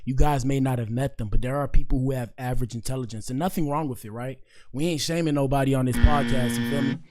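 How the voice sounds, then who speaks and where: A young man from Miami-Dade County with a hoarse, partly lost voice, hosting a casual podcast livestream. He rambles loosely in slang and speaks straight to his chat. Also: medium pitch, fairly even intonation, quick pace, heavy background noise. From Miami-Dade County, Florida. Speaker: podcast host.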